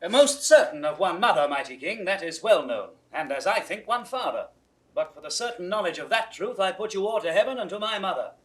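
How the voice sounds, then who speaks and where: Cheeky, animated young British gentleman. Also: sits high, a little fast, poor audio. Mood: cocky. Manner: bantering, theatrical, mocking. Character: cheeky, blunt young gentleman soldier, irreverent wit. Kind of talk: 1960s classical Shakespeare audio drama acting.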